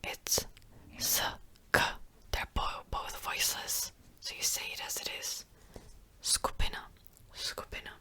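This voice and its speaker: A woman whispering softly and close to the mic as she gives a gentle language lesson, slowly repeating words. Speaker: language teacher.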